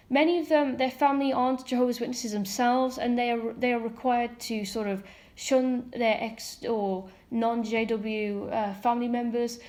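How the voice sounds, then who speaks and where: A woman's voice: on the high side, darkish tone. A woman from Ireland talking candidly in a casual monologue, using frequent fillers and mocking asides. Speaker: ex-member commentator.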